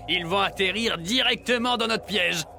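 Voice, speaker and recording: A bossy cartoon animal, very high for a male voice and full of gravel. He mocks and scolds slowly, with music behind. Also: bright, forceful tone, exaggerated voice. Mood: gleeful. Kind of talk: French cartoon dub.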